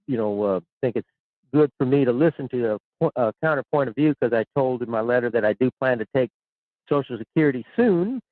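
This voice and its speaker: A man's voice: medium pitch, lively intonation, fairly quick, no room sound. A man in his sixties calling in to a radio show, rambling and repeating himself. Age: 60s. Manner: rambling, repeats words, chuckles. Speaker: caller. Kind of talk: call-in radio chat. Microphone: telephone. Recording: tinny phone line.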